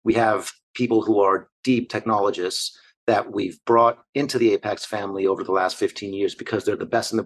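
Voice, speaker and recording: Brief: male investor guest, forties, from Argentina, husky low voice, steady and orderly. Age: 40s. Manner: fluent, measured business talk in long structured lists. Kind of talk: interview answers.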